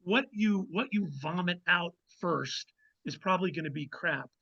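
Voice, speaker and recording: A man's voice: sits high, moderate pace, sharp tone, dull audio. A man speaking casually as an author guest in an interview, giving emphatic advice and repeating words as he goes.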